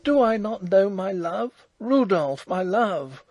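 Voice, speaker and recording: A man's voice: extremely high pitch, huge pitch swings, measured pace, a little mellow, slightly rough, dry recording, pitched above the performer's own voice, heavily acted. A man voicing a proud young princess, speaking in a raised, earnest and emotional voice. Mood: anguished.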